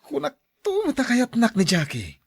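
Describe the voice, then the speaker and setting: A man's voice: extremely high pitch, wildly swooping pitch, moderate pace, vocal fry, husky, no room sound, exaggerated voice. An actor playing a lovestruck young man, an eager and generous suitor, in a radio drama. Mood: excited.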